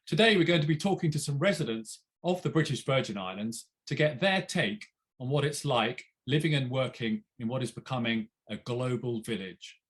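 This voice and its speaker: A man hosting, reading a scripted welcome in a smooth presenter's delivery. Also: mid-range voice, moderate pace. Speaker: host. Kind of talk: scripted introduction.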